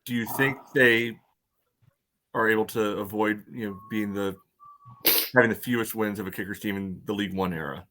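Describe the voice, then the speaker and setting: A man co-hosting a sports podcast, asking questions in a measured way. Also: on the low side, sharp tone, slight background noise. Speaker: podcast co-host. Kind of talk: casual chat.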